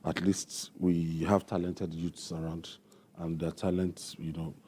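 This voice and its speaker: A man's voice: low-pitched, level tone, unhurried, dark tone, a little hiss or hum. A man giving casual commentary as a discussion host.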